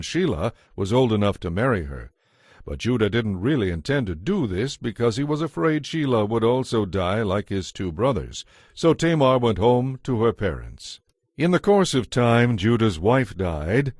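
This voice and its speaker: A man's voice: medium pitch, darkish tone, no room sound, studio quality. A man giving a scripted narration as the narrator of a dramatized audio Bible.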